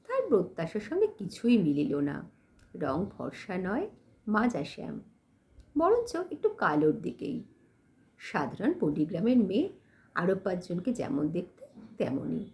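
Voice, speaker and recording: A woman reading a literary story as an audiobook narrator, in an expressive, dramatic manner. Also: mid-range voice.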